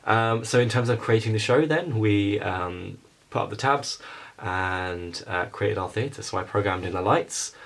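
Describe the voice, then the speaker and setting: A man's voice: lowish voice, measured pace, vocal fry. A young man giving an informal explanatory narration about how something creative was made. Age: young adult. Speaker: student puppet-show maker narrating a making-of.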